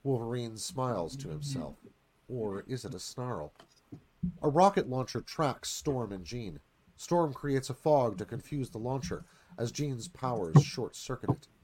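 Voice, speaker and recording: A man's voice: mid-range voice, expressive, measured pace, a little mellow, slight background noise, poor audio. A man narrating a screenplay's stage directions in a live radio-theatre reading.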